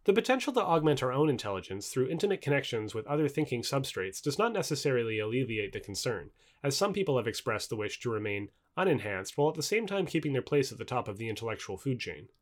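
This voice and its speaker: A man's voice: medium pitch, sing-song, quick pace, few pauses. A man reading a nonfiction book aloud in articulate, expository narration, stressing key terms. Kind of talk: scripted nonfiction audiobook narration.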